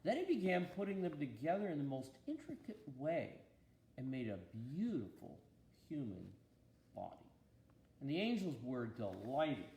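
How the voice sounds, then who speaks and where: Expressive man reading a storybook, roomy echo. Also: on the high side, normal speed, a little hiss or hum. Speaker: bishop reading a story aloud to a listener. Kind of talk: children's Bible storybook narration.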